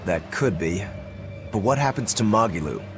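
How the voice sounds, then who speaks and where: A man's voice: fairly low pitch, expressive, moderate pace, over music. A man voicing a wry, questioning companion to an outlaw in a video game. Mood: doubtful.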